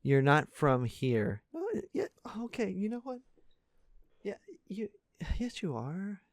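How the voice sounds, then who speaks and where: A man playing an earnest, caring high school senior in an intimate audio roleplay, speaking casually and haltingly to a best friend. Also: big pitch swings, no echo, clean studio sound, exaggerated voice. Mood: serious, bewildered. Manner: casual, halting, stammering, conversational.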